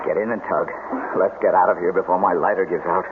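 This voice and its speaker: An American actor playing a tense, protective, level-headed man trapped in a cave, deliberate and intense in 1970s radio drama style. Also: deep voice, steady tone, close to an everyday voice. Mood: urgent. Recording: very noisy, narrow-band old radio recording.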